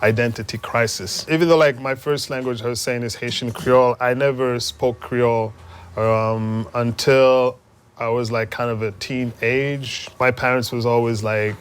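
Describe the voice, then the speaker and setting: A man's voice: medium pitch, steady tone, normal speed, slight background noise. A young man from Montreal, a musician speaking loosely and conversationally in an interview, with plenty of fillers. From Montreal, Canada. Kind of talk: casual interview reflection.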